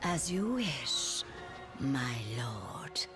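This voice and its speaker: An actress playing a scheming, falsely meek queen of the dead in a game, with theatrical, drawn-out phrasing. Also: very deep voice, sing-song, loud music behind the voice, heavily acted. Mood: cold submission.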